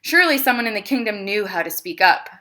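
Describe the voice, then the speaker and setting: A woman playing a clever, self-justifying male emperor who tells his side of a children's storybook tale in an expressive, confiding way. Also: medium pitch, quick pace. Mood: scheming, dramatic. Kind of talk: children's storybook read-aloud.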